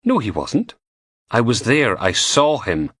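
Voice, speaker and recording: A man's voice: mid-range voice, huge pitch swings, slow pace, a bit bright, slightly rough, no echo, studio quality, voice pushed up, heavily acted. A man voicing a playful, teasing young goth woman working as a lab technician in an audiobook.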